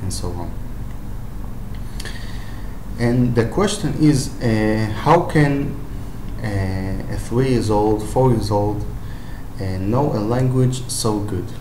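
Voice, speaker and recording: Israeli man, Hebrew native, lowish voice, slow hesitant delivery full of fillers; echoey room with faint noise. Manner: hesitant, many "uh" fillers and restarts. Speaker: language-learning video maker. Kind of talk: unscripted advice talk to camera.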